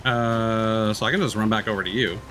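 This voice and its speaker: A man's voice: lowish voice, fairly even intonation, fairly quick, a bit bright, slight background noise. A man giving casual live commentary as he plays a game, muttering asides and reacting with short exclamations. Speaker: streamer. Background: game music.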